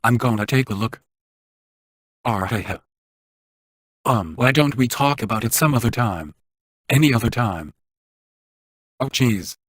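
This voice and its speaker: Uneasy, slightly husky everyday guy. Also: mid-range voice, lively intonation, measured pace, pauses often, no echo, studio quality. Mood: uneasy, hesitant. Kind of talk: scripted game voice lines.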